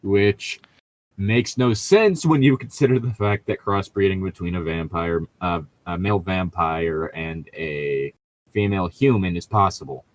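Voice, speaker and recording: A man's voice: low-pitched, a little slow. A man chatting casually as a podcast co-host, explaining things in a nerdy way and often restarting his sentences. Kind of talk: casual chat.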